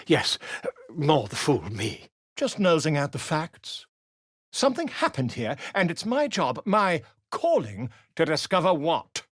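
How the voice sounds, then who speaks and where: A man's voice: sits high, a bit bright, no echo, heavily acted. Video game role: a flamboyant, grandiloquent male chronicler, sing-song delivery, dry close recording. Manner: grandiloquent, theatrical flourishes.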